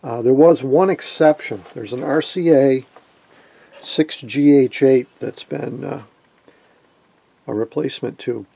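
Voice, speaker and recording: Gravelly, low-voiced man. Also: measured pace, pauses often, warm tone, no echo. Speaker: host of a vintage radio repair video. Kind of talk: casual technical explanation.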